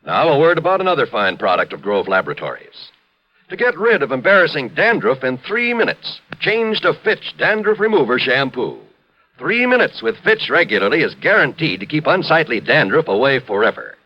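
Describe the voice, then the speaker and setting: Highly animated American radio announcer, male. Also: fairly quick. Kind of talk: 1950s scripted commercial announcing. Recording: muffled vintage radio recording.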